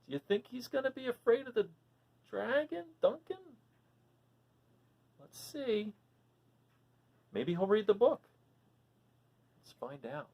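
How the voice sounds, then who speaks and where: Animated woman reading a picture book aloud. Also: fairly high pitch, slow, creaky, pauses often, dull audio. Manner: animated, asks the listener questions. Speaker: storybook reader for children.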